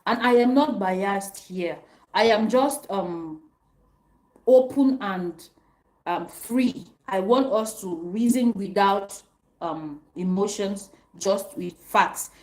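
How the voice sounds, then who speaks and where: A young adult woman hosting an opinion commentary, talking emphatically to viewers, repeating her points and filling pauses with um and uh. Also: medium pitch, a little mellow, rough recording. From African country outside Nigeria and South Africa. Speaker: commentary channel host.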